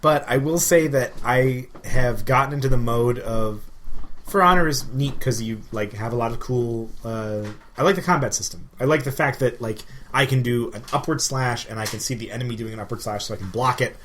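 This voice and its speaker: A man co-hosting a podcast, chatting casually about games and telling stories in an animated way. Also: medium pitch, fairly quick. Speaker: podcast co-host. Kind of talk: casual gaming chat. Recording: clear background noise.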